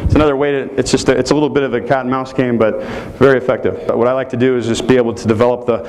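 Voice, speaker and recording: A man's voice: mid-range voice, fairly even intonation, very fast, darkish tone, poor audio. A man in his thirties giving a live football technique clinic, explaining each move as he demonstrates it in a hotel meeting room. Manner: explanatory, walks through moves while demonstrating.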